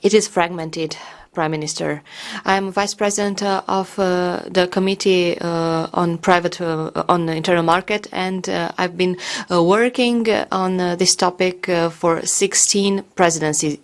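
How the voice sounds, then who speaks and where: A woman's voice: lowish voice, steady tone, unhurried. A woman in her sixties from Romania gives an earnest, pleading parliamentary speech, with many fillers. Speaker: member of parliament.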